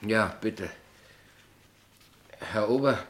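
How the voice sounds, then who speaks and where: An actor in a radio play playing a naive, proud small-town poet, earnest and hesitant, stubborn when challenged. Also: male voice, lowish voice, very slow. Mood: naive, admiring.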